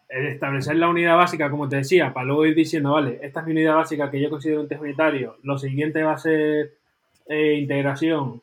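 Animated man, fairly high voice. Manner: animated, peppers speech with tag questions. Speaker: podcast co-host. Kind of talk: casual chat.

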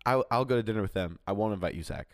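A man's voice: fairly low pitch, very fast, no room sound. A young man hosting a podcast, teasing his guest playfully and laughing a lot. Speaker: podcast host. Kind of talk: casual podcast chat.

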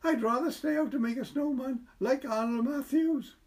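A man voicing a cheeky, excitable little boy in a storybook reading. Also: very high-pitched, moderate pace, pitched above the performer's own voice. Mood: excited.

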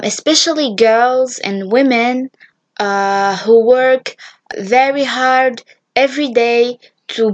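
A young woman of nineteen whose first language is Arabic chats through a solo podcast, hesitant and friendly, with plenty of fillers and restarts. Her voice sits a little high and she takes her time over every phrase. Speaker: podcast host. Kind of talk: casual solo podcast monologue.

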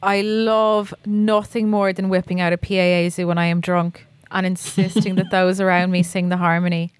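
A woman's voice: lowish voice, flat delivery, normal speed, smooth, no room sound. A young woman co-hosting a podcast, rambling playfully and mocking herself in a casual chat.

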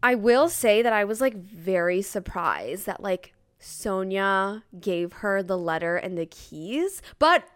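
A woman's voice: medium pitch, lively intonation, takes it slowly, hardly any pauses, smooth, dry recording. A young adult woman co-hosting a podcast, chatting casually about a book in informal speech full of "like". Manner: informal, filler-heavy with frequent "like". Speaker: podcast co-host. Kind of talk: casual book discussion chat.

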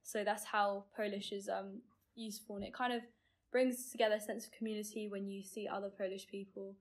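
A woman's voice: mid-range voice, level tone, fairly quick. A teenage girl speaking casually as a guest, with frequent fillers. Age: teens. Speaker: podcast guest.

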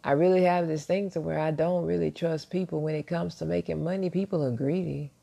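A man hosting a casual motivational podcast monologue. He talks in a soft, deep voice, quietly so as not to wake his neighbors, and sounds self-assured and reflective, rambling with restarts. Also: fairly even intonation, a little fast, creaky. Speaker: podcast host. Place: at home, early morning.

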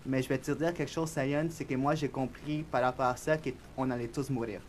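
A young man with a Spanish accent, a guest on a podcast interview, talking in a soft, reflective, shy way. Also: mid-range voice, steady tone, fairly quick, slight background noise. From Spanish-speaking country. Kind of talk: casual interview chat.

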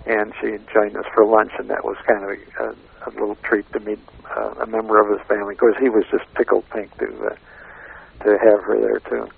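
An American man telling casual anecdotes in a halting, meandering way, repeating phrases as he goes. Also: on the low side, completely flat delivery, creaky, slightly rough. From United States, Chicago area. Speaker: radio interviewer, guest recalling past interviews. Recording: lo-fi narrow radio or phone sound.

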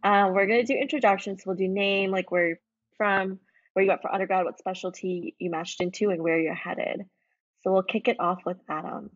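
A woman's voice: mid-range voice, steady tone, brisk, no room sound. A woman moderating a casual panel of medical graduates, with a casual manner full of fillers. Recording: dull lo-fi audio, dry.

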